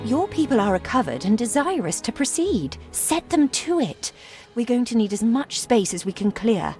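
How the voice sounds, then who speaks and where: A woman playing a proud, sharp-tongued, brisk young gentlewoman in a video game, speaking in a crisp, formal manner. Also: on the high side, very animated, soft background music. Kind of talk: video game character dialogue.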